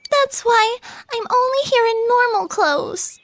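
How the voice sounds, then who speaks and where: A woman's voice: extremely high pitch, slow, fairly bright tone, no echo, full character voice. A woman voicing a shy, devoted teenage schoolgirl in an anime-style video game, girlish and sweet, with a hesitant, flustered, polite way of talking. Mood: apologetic, embarrassed.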